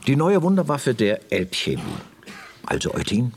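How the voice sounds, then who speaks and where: A man's voice: medium pitch, huge pitch swings, normal speed, a little hiss or hum. An actor playing a formal, condescending male executive in a radio drama.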